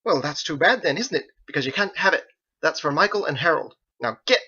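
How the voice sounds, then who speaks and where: A man's voice: on the high side, animated, fairly quick, sharp tone, slightly rough, highs cut off, pitched above the performer's own voice, full character voice. A man voicing a fussy, scolding woman of a medieval kitchen in an audiobook, bossy and exclamatory.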